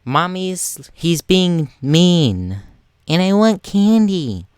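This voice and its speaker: A teenage boy voicing a bratty seven-year-old boy in a story, whining with drawn-out fake sobs. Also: high voice, animated, clear, resonant tone, no echo, studio quality, pitched above the performer's own voice, full character voice. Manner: slow, drawn-out fake sobbing.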